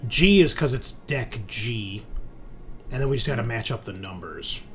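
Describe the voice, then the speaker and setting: A man's voice: mid-range voice, expressive, moderate pace. A man narrating a tabletop roleplaying game as game master, informal and improvised, sometimes projecting and sometimes muttering. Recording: faint room noise.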